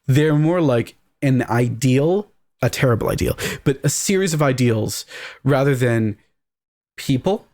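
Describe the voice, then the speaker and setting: A man's voice: medium pitch, expressive, unhurried, a little mellow. A man speaking as a podcast guest, discussing fantasy games in an emphatic, candid, opinionated way. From Canada. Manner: emphatic, candid, swears casually. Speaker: podcast guest, game writer.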